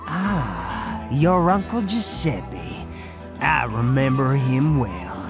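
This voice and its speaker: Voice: mid-range voice, big pitch swings, extremely slow, over music, heavily acted. A performer, heard as a woman, voicing a feared cartoon death spirit in a theatrical, coaxing, sinister manner. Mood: sinister, grand. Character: feared ghostly death spirit, smooth, luring, grandly sinister. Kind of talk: animated cartoon voice acting.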